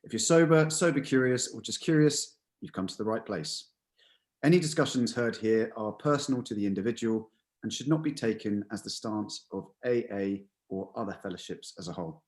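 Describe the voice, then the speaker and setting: Casting: young male podcast host, low voice, energetic and friendly delivery. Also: brisk. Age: young adult. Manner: energetic, upbeat. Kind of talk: casual podcast chat.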